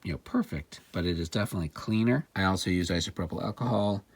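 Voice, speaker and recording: Low-voiced man narrating a hands-on demo. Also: fast talker, husky, no echo. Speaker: repair demonstrator and narrator. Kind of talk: casual hands-on demonstration narration.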